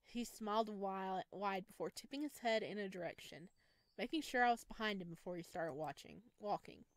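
Woman, visual novel narration, expressive storytelling, close microphone, very little room sound. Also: medium pitch, normal speed, no echo. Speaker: streamer reading a visual novel aloud.

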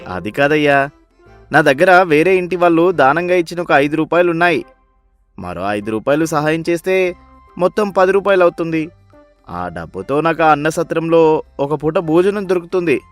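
A man's voice: on the high side, animated, normal speed, soft background music, lightly acted. A man playing a humble, hungry beggar in a story, speaking in a pleading, deferential way.

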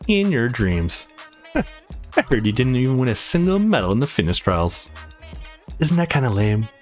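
A man's voice: mid-range voice, sing-song, normal speed, lightly acted. A man acts out a smug young male fighter from a visual novel game. He taunts in a mocking, mid-range voice. Music sits behind the lines. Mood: taunting.

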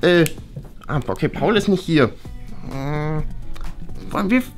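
A woman's voice: low-pitched, lively intonation, measured pace. Lady doing a nerdy schoolboy for a kids story, stammery and pedantic, voice jumps up and down, bit of music. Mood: nervous, hopeful. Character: nerdy, awkward schoolboy, a rule-abiding loner. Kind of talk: children's play-acted school story.

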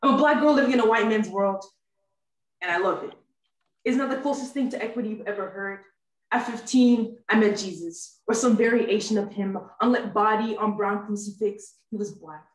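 A woman's voice: medium pitch, normal speed. Echoey young woman performing spoken word. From Houston, Texas, US. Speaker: spoken word poet, university student. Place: living room, indoors. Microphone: webcam a few steps away. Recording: echoey room, standing back from microphone.

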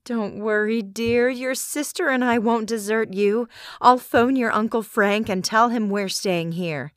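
A woman doing a slow, gentle worried mother. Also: on the high side, no room sound, studio quality, close to an everyday voice. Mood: soothing. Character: worried, gentle, ailing middle-aged mother.

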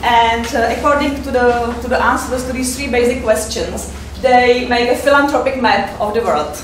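A woman's voice: on the high side, slight background noise. Czech lady giving a talk, kinda far from the mic, lots of uhs, roomy echo. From Czech Republic. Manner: hesitant, many fillers, halting non-native English. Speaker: fundraiser giving a conference talk. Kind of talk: presentation talk. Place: seminar room with projector. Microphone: far camera mic. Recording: echoey room, picked up from afar.

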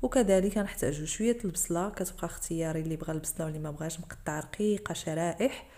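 A woman's voice: low voice, very fast, warm tone. A woman with a Moroccan Arabic accent, narrating a cooking tutorial over her video and explaining each step to the viewers. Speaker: cooking channel host.

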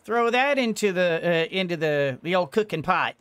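A man's voice: high voice, lively intonation, moderate pace, a bit bright, clean tone, dry recording. A middle-aged man giving casual gameplay commentary, thinking aloud as he goes. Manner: thinking aloud, muttering to himself. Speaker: let's play host. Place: small indoor home room. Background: game music.

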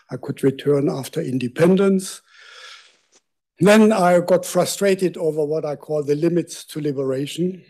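A man's voice: fairly high pitch, mellow, husky. An older man with a German accent, giving a public lecture from a lectern microphone in a university lecture hall, speaking conversationally with self-deprecating asides. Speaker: guest lecturer.

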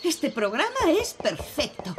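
A woman with a Castilian Spanish accent voicing a matter-of-fact, deliberate fairy nanny in a cartoon dub. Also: high voice, huge pitch swings, heavy background noise, full character voice. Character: matter-of-fact adult fairy nanny, relaying messages brightly.

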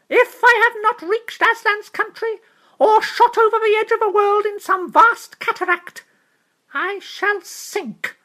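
A reader voicing a brave, proud talking mouse knight who speaks in a solemn, high-flown, resolute way. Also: sharp tone, heavily acted.

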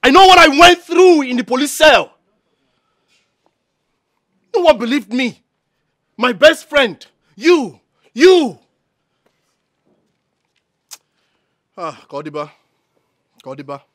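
An actress with a Nigerian accent playing a wronged, hot-tempered woman in a screen drama, loud and confrontational. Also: a little high, very animated, moderate pace, long pauses. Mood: bitter, anguished.

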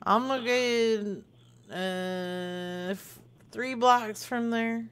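A woman's voice: a little high, very slow, fairly bright tone, smooth. A woman hosting a prank call show, improvising casually in a crude, teasing, rambling way.

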